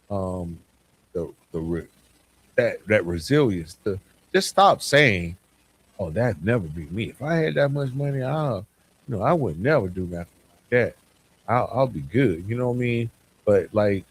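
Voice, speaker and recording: A man with a fairly low voice talks straight to his podcast listeners. He is earnest and motivational, posing rhetorical questions and repeating phrases to drive them home. The delivery is conversational, spoken close to the mic. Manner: conversational, frequent rhetorical questions and repetition for emphasis. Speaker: podcast host. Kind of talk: reflective solo podcast talk. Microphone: close to the microphone.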